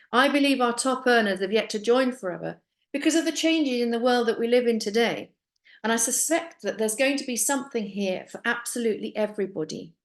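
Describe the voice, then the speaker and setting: Middle-aged woman, kinda high voice, earnest upbeat sales talk, speaks right to you. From Finland. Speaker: business opportunity presenter. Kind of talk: persuasive sales presentation.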